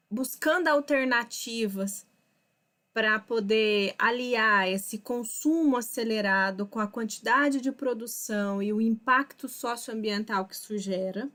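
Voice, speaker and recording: A woman hosting a live online chat, explaining things slowly and thinking aloud with fillers. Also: fairly high pitch, fairly bright tone. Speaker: host. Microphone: video call.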